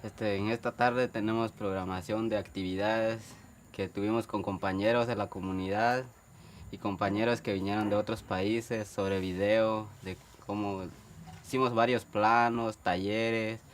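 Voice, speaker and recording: A man's voice: fairly low pitch, fairly even intonation, unhurried, a little hiss or hum. A man co-presenting a community TV program, explaining plainly with some hesitation. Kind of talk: live community TV hosting.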